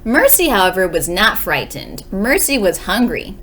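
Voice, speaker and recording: A woman teacher reading a children's story aloud with dramatic pauses, speaking directly to young listeners. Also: mid-range voice, very animated, fairly bright tone, a little hiss or hum.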